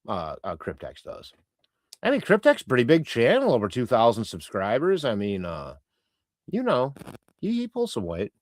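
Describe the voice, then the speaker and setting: A man whose first language is Croatian chats loosely through a livestream, reading viewers' comments in a rambling way full of ums and restarts. His pitch swings about in a lively way, and the sound is dry and close. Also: moderate pace, dry recording. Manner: rambling, chatty, many fillers and restarts. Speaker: livestream host reading chat. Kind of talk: casual live-stream chat.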